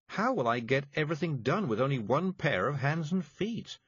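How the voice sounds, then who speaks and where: A man voicing a dazed, weary middle-aged witch woman, speaking slowly and faintly. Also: a little high, sing-song, a bit bright, husky, no echo, dull audio, pitched above the performer's own voice, full character voice. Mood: dreamy, weary. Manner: slow, faint, dreamy murmuring.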